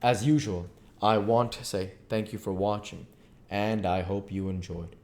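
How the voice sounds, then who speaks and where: A man reading a novel aloud in a low, quiet, steady way, as the reader of his own series. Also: unhurried, slight background noise. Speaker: audiobook reader. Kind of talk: amateur read-aloud of a novel.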